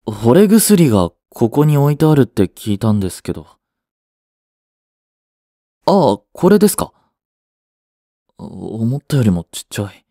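Slow, mellow, sulky young boyfriend acted by a man. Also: mid-range voice, no room sound, studio quality, full character voice. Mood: hesitant, puzzled. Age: young adult. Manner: blunt, muttering, sighing. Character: curt, sulky young boyfriend, aloof and skeptical. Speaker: voice actor. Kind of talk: Japanese romance audio drama acting.

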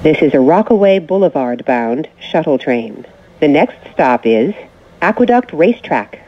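A woman reading scripted transit announcements as a train announcer, in short, measured, formal phrases. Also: low-pitched, sing-song. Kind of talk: scripted automated station announcements. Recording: muffled recording with train noise.

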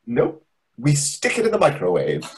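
A man in his thirties playing a fussy, condescending waiter with a deliberate, pompous manner in a comedy reading over a video call. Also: mid-range voice, huge pitch swings, darkish tone, slightly rough, full character voice. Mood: deadpan. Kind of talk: readers theatre comedy acting. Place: indoor room on a video call. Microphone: video call microphone.

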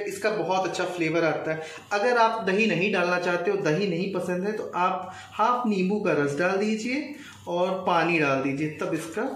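A man's voice: high voice, fairly bright tone. A young man narrating an informal cooking tutorial step by step, mixing English words into his speech. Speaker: home cook, recipe host. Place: home kitchen, indoors. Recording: echoey, distant mic, faint kitchen noise.

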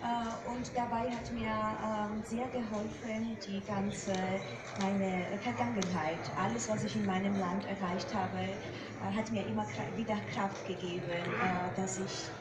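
A woman from Georgia, speaking German with a Georgian accent, answering slowly with many fillers as a guest poet on stage. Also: medium pitch, little pitch movement. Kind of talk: reading and interview at a book fair. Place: large hall with screen, seated on stage. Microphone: far phone camera in audience. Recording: echoey hall, far off, noisy.

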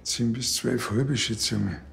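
A man's voice: mid-range voice, unhurried, vocal fry, a little hiss or hum. An actor playing a stubborn, self-important provincial policeman in a TV crime comedy. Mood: matter-of-fact. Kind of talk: naturalistic TV crime comedy acting.

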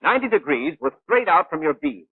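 An actor playing a brisk, know-it-all gunnery instructor in a 1940s animated training film, precise, clipped and didactic. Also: male voice, a little high, husky, no room sound, narrow, tinny audio. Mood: instructive. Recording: thin, band-limited 1940s film soundtrack.

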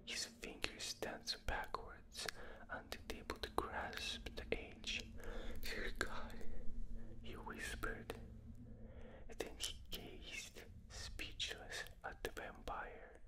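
A man whispering a book aloud for ASMR on a binaural mic, with a non-native English accent and occasional slips. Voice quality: soft unvoiced whisper throughout. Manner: slow, hushed reading with occasional slips. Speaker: ASMR reader.

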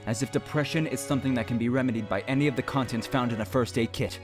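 A man giving a dramatic spoken-word poetry reading in an impassioned, theatrical and deliberate way. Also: mid-range voice. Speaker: poetry performer. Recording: musical score under the voice. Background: music.

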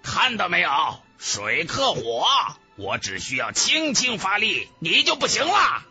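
A man voicing an arrogant, boastful male martial-arts villain with a taunting, forceful manner in an audiobook. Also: high voice, very animated, measured pace, slightly rough, faint music behind, pitched above the performer's own voice, heavily acted. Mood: gloating contempt.

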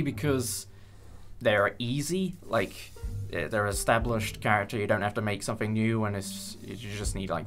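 A young man chatting casually in an interview, hesitant and rambling with many pauses. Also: fairly low pitch. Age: young adult. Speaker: creator of a fan audio drama. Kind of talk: casual behind-the-scenes interview. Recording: light music bed under speech. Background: background music with guitar.

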